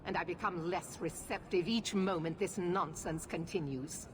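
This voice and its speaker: A woman plays a female military commander from a fantasy game who does not bend. Her voice sits fairly low, formal and severe, giving orders as if they are final. There is noticeable noise behind her. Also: steady tone, normal speed, natural voice. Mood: firm, defiant. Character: stern, unyielding female knight commander in authority. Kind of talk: dramatic fantasy video game acting.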